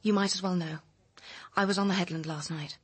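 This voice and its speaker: Bitter, husky British woman. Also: fairly low pitch, steady tone, a little fast, dry recording. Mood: guarded, confiding. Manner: pressing, cutting, articulate. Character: bitter, persuasive young female personal assistant with secrets. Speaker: radio drama actress. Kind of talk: radio crime drama acting.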